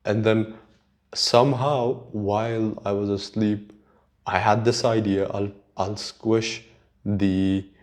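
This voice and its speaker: Slow, low-voiced man with Urdu accent. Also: flat delivery. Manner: unhurried, halting, sweary. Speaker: podcast host. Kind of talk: casual rambling solo podcast chat.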